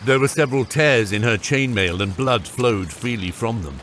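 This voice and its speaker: A man's voice: mid-range voice, normal speed, a little hiss or hum. A man narrating a scripted fantasy audiobook. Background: loud music.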